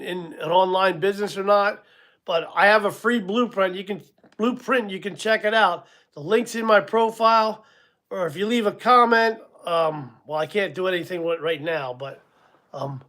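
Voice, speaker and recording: Chatty sixties man, fairly high voice. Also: a bit bright. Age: 60s. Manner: casual, rambling, chuckles at himself, many ums. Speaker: livestream host. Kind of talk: live stream chat with viewers.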